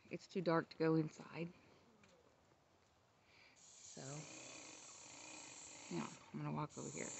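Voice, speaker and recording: A woman with a slightly low voice gives a casual home video tour at a leisurely, drawn-out pace. She sounds excited and chatty. She is recorded outdoors on a handheld phone, with some light noise behind her. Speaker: vlogger giving a house update. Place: outdoors in a rural yard and field. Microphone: handheld phone, behind the camera.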